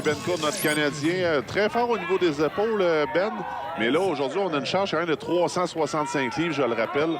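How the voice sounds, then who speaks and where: A man offers calm expert commentary on a strongman event, pausing on small fillers as he explains technique. His voice sits in an ordinary middle pitch, outdoors at the event. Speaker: color commentator. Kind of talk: live sports analysis.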